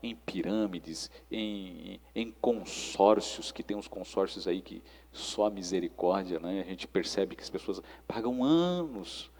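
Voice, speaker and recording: A Brazilian man with a husky, creaky voice talks like a preacher in conversation. He instructs and exhorts, often checking for agreement. His voice trails off in long reverb. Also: low voice, lively intonation, measured pace, hardly any pauses, darkish tone. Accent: Brazilian Portuguese.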